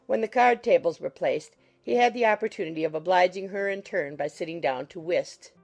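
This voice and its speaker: A woman narrating a classic novel as a scripted audiobook, reading in a measured, literary manner. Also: fairly low pitch, vocal fry, soft background music.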